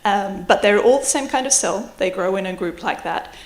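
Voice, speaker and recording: A woman's voice: mid-range voice, normal speed. Australian woman giving a science lecture. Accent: Australian with slight British influence. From Adelaide, Australia. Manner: explanatory, with light self-deprecating asides. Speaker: university researcher giving a public lecture. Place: lecture hall. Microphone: microphone at a lectern or on her.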